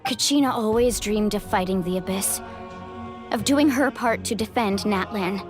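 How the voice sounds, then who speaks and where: A woman's voice: medium pitch, moderate pace, close to an everyday voice. An actress voicing a passionate young warrior woman in a video game, speaking earnestly and with open emotion. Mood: grieving. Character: passionate young warrior woman grieving her lost friend. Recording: music behind the voice. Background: background music.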